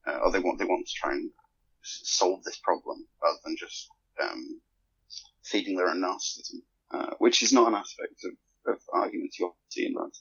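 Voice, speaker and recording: A man chats casually as a podcast guest. His voice is deep and husky, with a rough gravel to it. It comes through casual, lo-fi group-call audio. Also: normal speed, fairly bright tone. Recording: muffled lo-fi voice chat audio.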